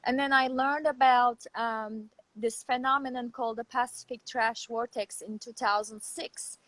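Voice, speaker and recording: A woman with a Turkish accent, giving a casual interview over a video call as a guest, thinking aloud in halting phrases. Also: on the high side, steady tone, a bit bright, low-quality recording. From Turkey. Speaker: guest, artist and professor. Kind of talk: casual interview talk about own background.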